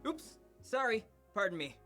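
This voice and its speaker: An actor voicing an eager, polite, cheerful middle school kid in a visual novel, chirpy and excitable. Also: mid-range voice, normal speed, soft background music. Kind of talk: animated visual novel character acting.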